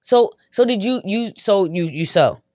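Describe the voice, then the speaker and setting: A woman's voice: mid-range voice, lively intonation, unhurried, darkish tone, no echo, studio quality. A woman hosting a podcast in a hyped, slangy, upbeat way, casually chatting with her guest. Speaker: podcast host. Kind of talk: casual interview chat.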